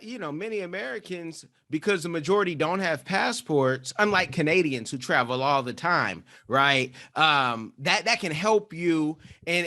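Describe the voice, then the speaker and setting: Bright-voiced man hosting, halting delivery. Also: a little high. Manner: hesitant, restarts often, many fillers. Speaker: podcast host. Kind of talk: casual interview talk.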